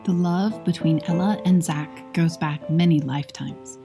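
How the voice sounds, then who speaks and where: A woman's voice: fairly low pitch, a little slow. A woman reading a scripted book advertisement. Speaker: ad reader. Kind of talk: scripted ad narration. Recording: read over guitar music.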